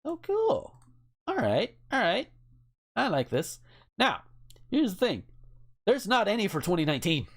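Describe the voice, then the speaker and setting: Male host, informal and rambling, highly varied intonation, casual chat delivery. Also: huge pitch swings, a little slow, long pauses. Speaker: podcast host. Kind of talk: casual chat, reading out word lists.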